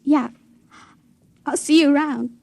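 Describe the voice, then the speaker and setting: An actress playing a tender, naive young woman in love, earnest and halting, in a vintage radio drama. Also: high voice, steady tone, vocal fry, warm tone, a little hiss or hum. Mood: hurt, resigned.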